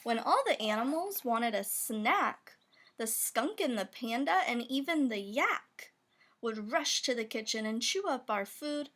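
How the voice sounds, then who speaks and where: A woman's voice: fairly high pitch, expressive, measured pace, fairly bright tone, a little hiss or hum. A woman reading a rhyming children's picture book aloud, with playful emphasis on the sound words. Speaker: storybook reader.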